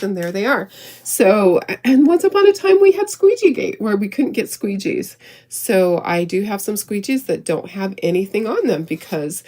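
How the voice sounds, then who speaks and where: A middle-aged woman with a squeaky voice, recovering from illness, demonstrating a craft project in a rambling, giggly, chatty way. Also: darkish tone, breathy edge. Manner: rambling, self-interrupting, giggly. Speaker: craft show host.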